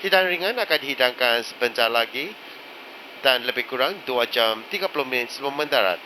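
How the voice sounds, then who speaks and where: A man reading scripted cabin crew announcements in a formal, measured manner. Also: medium pitch, animated, poor audio. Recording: loud aircraft cabin background noise.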